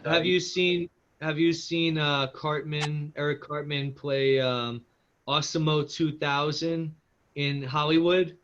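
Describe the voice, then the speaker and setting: A man chats plainly in a casual group call, his voice a little higher than average. The sound is dull and lo-fi, with faint noise behind him. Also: fairly even intonation, slow pace, fairly bright tone.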